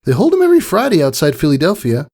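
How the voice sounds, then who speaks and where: A man voicing a plucky young filly pegasus in a storybook reading, excitable and earnest. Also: fairly high pitch, fast talker, pitched above the performer's own voice. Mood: excited, eager.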